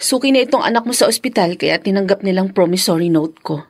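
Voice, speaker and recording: A woman's voice: mid-range voice, lively intonation, quick pace. An actress playing a weary, devoted young mother and neglected wife in an emotional, pleading Filipino radio drama style. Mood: hurt, reproachful.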